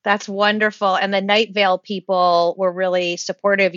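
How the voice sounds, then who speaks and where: A woman's voice: lowish voice, a bit bright, dry recording, highs cut off. A woman hosting a podcast, reading introductions and putting questions to a guest. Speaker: podcast host and interviewer. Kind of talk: scripted intro and interview.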